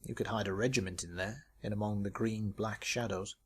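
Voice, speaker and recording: A man's voice: lowish voice, steady tone, fairly quick, slight background noise. A man reading his own short story as a scripted narration.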